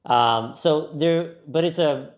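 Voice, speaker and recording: A middle-aged man from California, a company founder, explaining his work in a relaxed interview with frequent fillers. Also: a little high, takes it slowly. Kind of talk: conversational interview.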